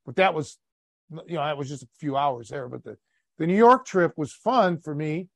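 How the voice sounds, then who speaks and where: American guy on a podcast, gravelly fry in his voice, rambles with lots of ums, dry close sound. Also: a little high, animated, moderate pace, dry recording. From United States. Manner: rambling, with many fillers and restarts. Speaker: podcast host. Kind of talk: casual chat.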